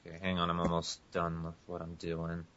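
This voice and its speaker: A man with a very deep voice co-hosts a casual group podcast. His delivery is conversational, and the sound is dull and lo-fi. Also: monotone, brisk, pushed and bright, slight background noise. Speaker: podcast co-host. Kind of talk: casual group podcast chat, some reading aloud. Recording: muffled lo-fi internet podcast audio.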